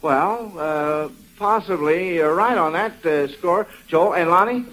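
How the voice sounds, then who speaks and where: High-pitched American man hosting a radio quiz. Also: slow pace, a bit bright, poor audio. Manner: folksy, avuncular, addresses children as kids and son. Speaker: quiz show host.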